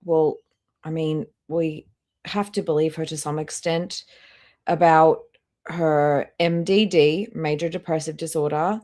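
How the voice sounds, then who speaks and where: Low-pitched blunt woman streamer. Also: steady tone, unhurried, dark tone. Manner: blunt, skeptical, swears. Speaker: live stream host. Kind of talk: casual live reaction commentary.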